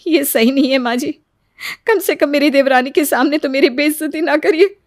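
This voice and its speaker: A woman acts out a long-suffering young daughter-in-law in a moral story. The voice is high, plaintive and earnest, recorded close and dry. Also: normal speed, no room sound, close to an everyday voice. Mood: tearful pleading. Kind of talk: Hindi moral story voice acting.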